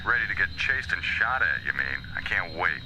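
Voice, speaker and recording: Cocky sarcastic grumbly space captain, lowish voice, very animated, audio drama. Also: male voice, moderate pace, slight background noise, heavily acted, poor audio. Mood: wry, grumbling. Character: cocky, grumbling, sardonic male smuggler turned rebel captain.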